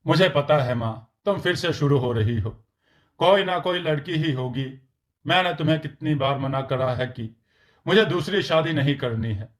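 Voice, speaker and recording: A man's voice: fairly high pitch, moderate pace, close to an everyday voice. A man voicing a reluctant, exasperated adult son in a story cartoon.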